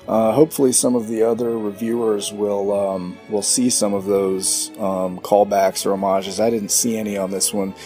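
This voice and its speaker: A man's voice: fairly low pitch, little pitch movement, moderate pace, few pauses, warm tone, soft background music. A man giving a casual, off-the-cuff spoken review as a podcast listener. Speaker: listener contributing a recorded review.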